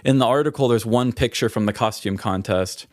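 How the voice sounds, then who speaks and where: A man's voice: medium pitch, brisk, no room sound, studio quality. An American man co-hosting a comedy podcast, telling stories in a casual, rambling, conversational way. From US Midwest. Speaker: podcast co-host.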